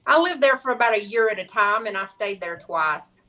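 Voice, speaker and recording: Bright-voiced woman, a bit off-mic. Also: mid-range voice, expressive, fairly quick, far from the mic. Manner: easygoing, frank, chatty. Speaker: guest crafter. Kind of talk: casual chat.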